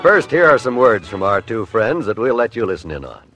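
A man's voice: lowish voice, big pitch swings, fairly quick, over music. A man announcing an old-time radio comedy show in a 1950s broadcast style.

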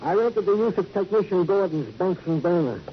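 An actor playing a fussy, pompous American police sergeant sidekick, speaking with comic formality in 1950s radio style. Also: male voice, high voice, expressive, moderate pace. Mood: smug, chummy. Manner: pompous, mock-formal, officious. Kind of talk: 1950s radio drama acting. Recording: dull, noisy vintage radio recording.

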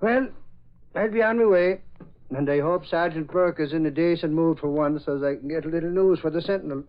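A man's voice: a little high, brisk, close to an everyday voice. A man playing a folksy, good-natured reporter sidekick who talks colloquially, in old radio drama style. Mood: easygoing. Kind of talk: 1940s radio acting. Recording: muffled old radio recording.

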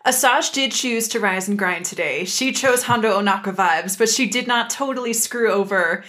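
A woman's voice: a little high, normal speed, fairly bright tone. A woman co-hosting a podcast, giving casual fan commentary in a playfully emphatic, slangy way. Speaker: podcast co-host.

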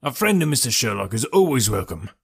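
A man voicing a cranky, lanky old male animal keeper who yells threats and then chats fussily. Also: mid-range voice, sing-song, brisk, gravelly, breathy edge, exaggerated voice. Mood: fussy, welcoming. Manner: shouting threats, then fussy and chatty.

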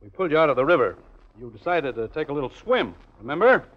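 An actor playing a shrewd, commanding gang boss in a 1940s style radio drama. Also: male voice, on the high side, big pitch swings. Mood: cool, probing. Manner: brisk, takes charge. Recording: muffled old radio broadcast recording.

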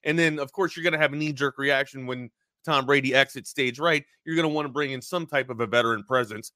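Bright punchy dude talking football, calls everyone man and bro, dry mic. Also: medium pitch, fast, no echo. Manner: loose, slangy, says man and bro. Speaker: sports podcast co-host. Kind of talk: casual sports talk.

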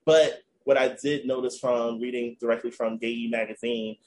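A man's voice: mid-range voice, steady tone, moderate pace, darkish tone, a little hiss or hum. A man hosting a casual podcast, recounting a news story to his cohosts in a storytelling way. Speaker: podcast host.

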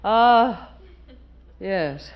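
A woman playing a stern, prim middle-aged matron who speaks slowly and pointedly. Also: medium pitch, expressive, dull audio. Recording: echoey noisy old radio recording.

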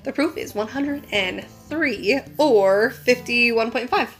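A woman co-hosting a casual bourbon tasting chat, a little nasal from a cold, chatty and quick to laugh. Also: on the high side, faint music behind. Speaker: co-host of a bourbon show.

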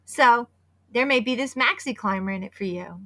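A woman in her fifties co-hosting a podcast, chatty and excitable, hoarse from losing her voice. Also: on the high side, moderate pace. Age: 50s. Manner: chatty and excitable, with many restarts. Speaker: podcast co-host. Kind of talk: casual podcast chat with sponsor read.

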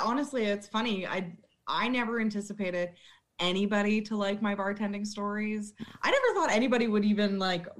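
A young woman, a former bartender, chatting as a podcast guest, blunt and swearing freely as she laughs at herself. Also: medium pitch. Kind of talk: casual interview chat.

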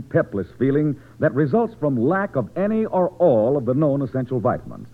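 Husky, swooping male radio ad announcer. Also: on the high side. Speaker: commercial announcer. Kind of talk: mid-century radio advertising read. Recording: vintage radio, narrow tinny sound.

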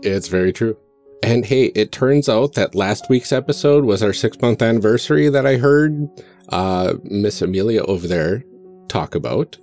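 Man, lowish pitch, podcast co-host, friendly and earnest, casual delivery, music underneath. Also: lively intonation, normal speed, darkish tone. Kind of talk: casual podcast announcements and banter.

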